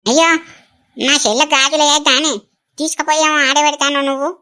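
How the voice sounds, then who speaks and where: A woman plays a village elder sister in a family drama, with a high, bright voice and a Telangana accent. She is blunt and emotional, exclaiming plaintively. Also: steady tone, brisk. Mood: blunt, curious. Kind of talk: rural family drama acting.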